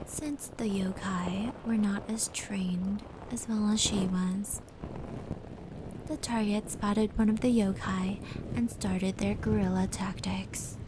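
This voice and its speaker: A woman voicing a gentle, caring female yokai who softly tells old tales in an ASMR roleplay, often close to a whisper. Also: mid-range voice, natural voice. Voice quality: soft, breathy, often near-whispered. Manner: unhurried, soothing, measured narration. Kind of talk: ASMR roleplay, slow soft storytelling. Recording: steady rain and brushing noise layered over. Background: indoor rain patter and fur brushing.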